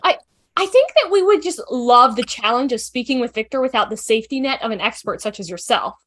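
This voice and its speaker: A woman's voice: fairly high pitch, lively intonation, fairly quick, fairly bright tone. A woman playing a nervous, eager, rambling young woman in an amateur table read.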